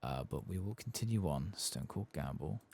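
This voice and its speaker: A man giving soft-spoken ASMR commentary over a game playthrough in an unhurried murmur. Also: sits low, expressive, creaky, a little hiss or hum. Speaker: ASMR gaming streamer.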